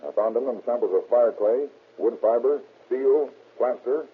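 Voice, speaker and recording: An actor playing a confident, methodical police chemist in an old radio drama, precise and matter-of-fact. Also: male voice, medium pitch, moderate pace, breathy edge. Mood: explaining. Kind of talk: old-time radio acting. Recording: muffled, scratchy old radio recording.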